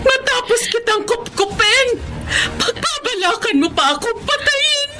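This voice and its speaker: A woman playing a stern older adoptive mother who accuses a scheming son, rising to shouts, in a Tagalog radio drama. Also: very high-pitched, lively intonation, unhurried, music in the background. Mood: accusing.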